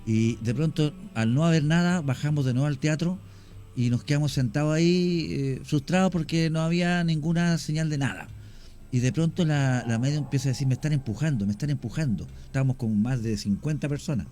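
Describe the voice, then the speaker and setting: Chilean man, radio chat, mellow voice. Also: fairly high pitch, a little fast. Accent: Chilean Spanish. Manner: storytelling, animated with hesitations. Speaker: guest, cemetery tour guide and actor. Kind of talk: casual radio conversation. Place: radio studio.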